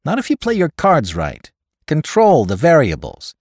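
A man's voice: a little high, huge pitch swings, normal speed, darkish tone, dry recording, studio quality, voice pushed up, exaggerated voice. A man voicing a coolly logical male friend who gives persuasive advice in a clipped, exact way, in an audiobook story. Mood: brisk, insistent.

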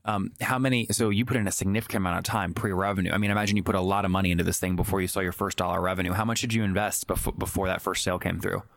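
Fast, low-voiced American male interviewer. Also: steady tone, creaky, a bit bright, no room sound, studio quality. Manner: probing, interjects short acknowledgements, restates in plain terms. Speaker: podcast interviewer, host. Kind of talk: brisk business interview.

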